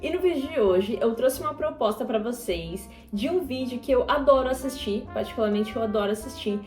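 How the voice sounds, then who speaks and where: Brazilian girl in her 20s rambling while she paints, kinda high voice, mellow, lots of "you know?". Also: reverberant. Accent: Brazilian Portuguese. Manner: chatty, rambling, frequent fillers and tag questions. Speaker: YouTuber. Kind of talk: casual chat while painting.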